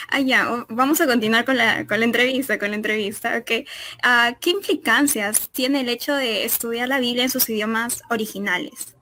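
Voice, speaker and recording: A woman's voice: on the high side, normal speed, sharp tone, no echo. A woman with a Latin American Spanish accent co-hosting a casual live interview, informal and a little hesitant, with neighborhood noise behind her. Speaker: interview co-host. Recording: clear background noise from the neighborhood.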